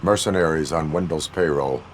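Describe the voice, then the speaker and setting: An actor playing a stoic male cyborg police officer, speaking in flat, clipped, formal lines in a video game cutscene. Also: extremely low pitch, normal speed, a little hiss or hum. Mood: stern.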